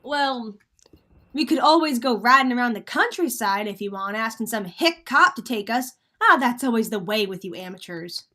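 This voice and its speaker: A woman's voice: fairly high pitch, animated, moderate pace, pushed and bright. A woman playing a cocky, bossy crook who narrates and schemes in slangy hardboiled style in a radio play. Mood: scornful. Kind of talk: vintage radio drama acting.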